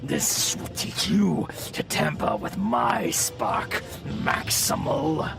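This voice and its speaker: A man's voice: a little high, big pitch swings, loud music behind the voice, full character voice. A man playing a menacing, honor-bound robot warrior in a cartoon radio drama, with a growling voice and an archaic, dramatic way of speaking. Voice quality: gravelly, growling. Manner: slow, archaic, dramatic delivery. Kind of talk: animated-series radio drama acting.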